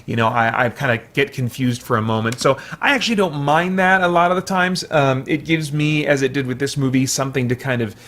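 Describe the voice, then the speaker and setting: A man with a medium-pitched voice reviews a film in a casual, off-the-cuff way. He thinks out loud, rambling a little and often starting a phrase over before he settles on it. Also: fairly quick, few pauses. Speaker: movie reviewer, video host.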